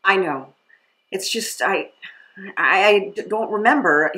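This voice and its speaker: Woman acting as shaken soldier, very expressive pitch. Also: poor audio. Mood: confused, anxious. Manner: halting, earnest. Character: shaken, hesitant wounded soldier with lost memory. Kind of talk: audio drama acting.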